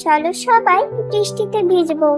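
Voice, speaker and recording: Very high-pitched cartoon child, played by an actor. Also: moderate pace, music in the background. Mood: cheerful. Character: excitable young village child at play. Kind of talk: children's cartoon story dialogue.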